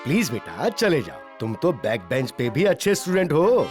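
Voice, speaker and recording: A man's voice: mid-range voice, wildly swooping pitch, normal speed, faint music behind. A man playing an easily swayed, exasperated schoolteacher in a Hindi children's cartoon, coaxing his pupils.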